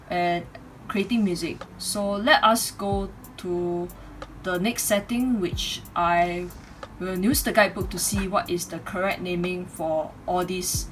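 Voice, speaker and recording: A woman's voice: lowish voice, low-quality recording. A woman in her twenties with a Singaporean accent, speaking as an amateur reviewer into a microphone in a room at home. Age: 20s. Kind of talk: casual microphone review with read-aloud speech samples. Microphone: USB condenser microphone. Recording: home USB microphone recording, clear background noise.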